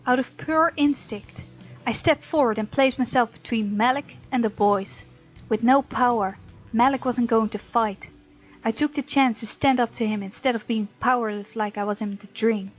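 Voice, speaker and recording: High-voiced woman narrating a game playfully. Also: moderate pace. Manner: playful, expressive, reactive. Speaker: gameplay host reading a visual novel aloud. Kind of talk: story narration with playful let's play commentary. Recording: dull, lo-fi sound with music behind.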